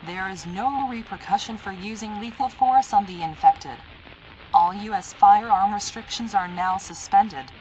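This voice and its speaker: A woman's voice: medium pitch, a little slow, darkish tone. Formal female emergency announcer, noisy broadcast. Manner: formal, impersonal, automated-sounding delivery. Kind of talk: scripted emergency broadcast narration. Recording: noisy analog horror alert broadcast.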